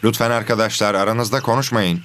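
A man playing a patient religion teacher in an educational children's cartoon, explaining things clearly and didactically. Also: fairly low pitch, fairly even intonation, fast talker, fairly bright tone, slight background noise, lightly acted. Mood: gently firm. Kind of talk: scripted educational cartoon dialogue.

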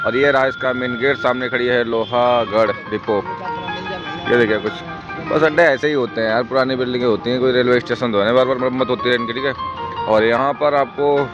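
A hoarse young man in his twenties walks through an outdoor bus station, filming himself on a phone and chatting like a tour guide. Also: mid-range voice, fairly even intonation, fairly quick. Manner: chatty tour-guide narration, pointing things out. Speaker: travel vlogger. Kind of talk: casual walking vlog. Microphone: phone camera at arm's length. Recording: dull lo-fi phone audio with music behind. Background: music playing and passing buses.

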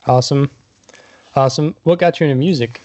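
A man's voice: medium pitch, brisk, a little mellow, no echo. A man hosting a podcast, introducing and interviewing his guest. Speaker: podcast host.

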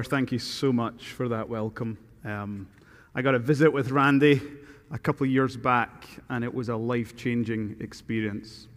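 A middle-aged man from Scotland with a thick Glaswegian accent, preaching a sermon as guest preacher and reading scripture with slow, earnest emphasis at a podium mic. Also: medium pitch. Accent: Glaswegian Scottish. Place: large church sanctuary. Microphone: podium microphone. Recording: long church reverb.

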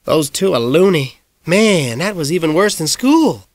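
A man's voice: a little high, lively intonation, a little slow, no echo, studio quality, voice pushed up. Man reading a blunt kid, slightly raised voice. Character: young boy, blunt and polite.

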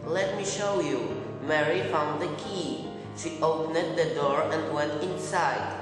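A performer playing a spoiled, sickly young boy in a puppet show, with plaintive, deliberate delivery. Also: medium pitch, lightly acted. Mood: proud, hopeful. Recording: echoey hall, distant mic, background music.

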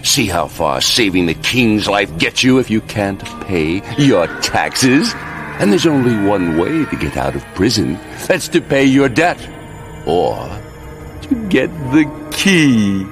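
A villainous royal advisor in a campy game cutscene. He drawls slowly in a low, wildly swinging voice, sneering and falsely polite, relishing his gloating, with faint music behind. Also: big pitch swings. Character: scheming, gloating villainous royal advisor, middle-aged man.

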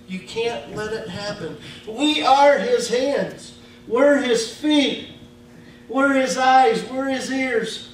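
A male pastor with a Midwestern American accent preaching a sermon in a church, slow and emphatic with casual grammar. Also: high-pitched. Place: church sanctuary. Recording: echoey church from far off, faint hum.

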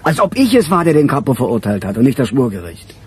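An actor playing a seasoned, skeptical chief inspector in a German radio crime play, speaking bluntly and drily. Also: male voice, medium pitch, big pitch swings, fast, slightly rough. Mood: skeptical, weary.

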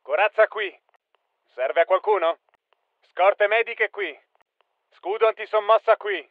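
An actor voicing a tough soldier barking clipped, commanding battlefield callouts for a military shooter game. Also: male voice, high voice, unhurried, no echo, phone-quality sound. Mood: focused, businesslike.

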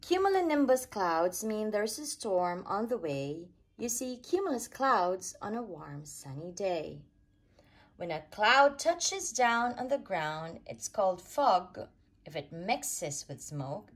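A woman reading a rhyming children's picture book aloud as a storyteller, with expressive, deliberate sing-song phrasing for young kids. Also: mid-range voice.

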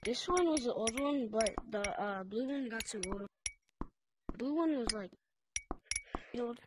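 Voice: mid-range voice, lively intonation, unhurried, noisy background. A player chatting casually with others in a VR game over voice chat. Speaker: fellow player.

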